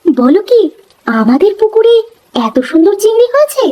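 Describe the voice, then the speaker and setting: A woman's voice: very high-pitched, big pitch swings, normal speed, dark tone. A woman voicing a dutiful, caring young village wife and daughter-in-law in a cartoon, with a polite, homely manner. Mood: surprised. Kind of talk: cartoon voice acting.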